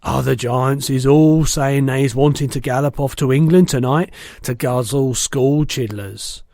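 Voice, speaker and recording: A man's voice: medium pitch, a little slow, dark tone, no echo, natural voice. A man voicing a brutish, greedy, man-eating giant in a storybook, boastful and bullying, with mangled words. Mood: greedy, gloating.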